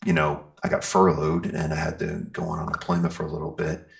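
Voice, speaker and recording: A man's voice: a bass voice, completely flat delivery, a little fast, gravelly. A man speaking casually as an interview guest, explaining things in a rambling, think-aloud way. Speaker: interview guest, metal band singer and guitarist.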